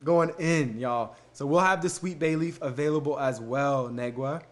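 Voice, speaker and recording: A man's voice: medium pitch, moderate pace. A young man hosting a casual live stream, answering viewer questions and thanking commenters in an easygoing way with frequent fillers. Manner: fillers and laughs between answers.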